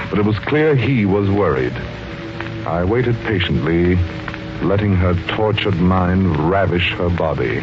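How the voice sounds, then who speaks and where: Deep, cold murderer confessing. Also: male voice. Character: cold, gloating wife-poisoner confessing in his study. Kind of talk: 1940s radio dramatic monologue. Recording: muffled old radio recording. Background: music.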